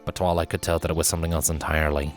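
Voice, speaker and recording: A man reading a fiction story aloud as its narrator, in an expressive scripted style. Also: a bass voice, rapid-fire, faint music behind.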